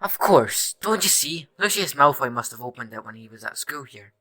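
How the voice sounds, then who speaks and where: A man's voice: mid-range voice, animated, normal speed, sharp tone. A man playing a sceptical, impatient schoolboy wizard in an audio drama, with a sarcastic manner. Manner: sarcastic, questioning.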